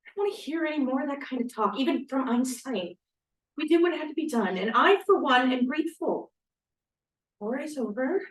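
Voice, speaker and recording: A woman's voice: high voice, animated, a little fast. A woman acting as an earnest young 1940s bride-to-be who longs for home and family, in a script read-through. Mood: defiant. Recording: distant, echoey room microphone.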